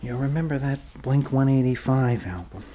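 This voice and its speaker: A man's voice: mid-range voice, normal speed, mellow, a little hiss or hum. A man improvising an ASMR roleplay as a goofy, reassuring doctor, rambling casually and joking as he goes.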